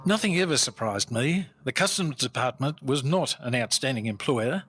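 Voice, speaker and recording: An actor playing a boastful, famous Victorian-era detective inspector in an old-time radio drama, formal and theatrical in manner. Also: male voice, medium pitch, lively intonation, normal speed, fairly bright tone, a little hiss or hum, close to an everyday voice. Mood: self-assured, storytelling. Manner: theatrical, self-assured, formal.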